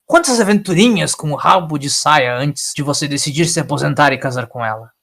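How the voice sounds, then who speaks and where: A man voicing a furious old alien time traveler in an audio story, scolding with sarcastic, forceful questions. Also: fairly high pitch, brisk, gravelly, husky, heavily acted. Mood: accusing, indignant.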